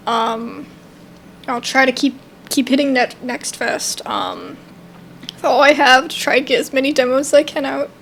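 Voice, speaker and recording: A woman's voice: high-pitched, big pitch swings, normal speed, a bit bright, slight background noise. A young adult woman hosting a gaming video with casual, chatty commentary, thinking aloud in an informal way. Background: faint game music.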